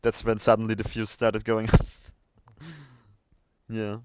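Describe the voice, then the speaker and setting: A man co-hosting a livestream in casual chat, a bit halting and repeating words as he thinks. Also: lowish voice, completely flat delivery, darkish tone, smooth, no room sound, clean studio sound. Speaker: livestream co-host.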